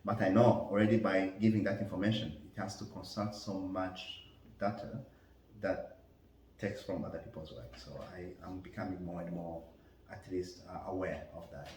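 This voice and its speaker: A deep-voiced man, a parish priest whose first language is French, answering in a careful, halting way with lots of fillers. He sits away from the mic in a roomy, echoing space with faint noise behind him. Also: level tone, a little mellow, distant mic. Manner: thoughtful, hesitant, many fillers and restarts. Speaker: parish priest and school chaplain. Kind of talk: interview answers.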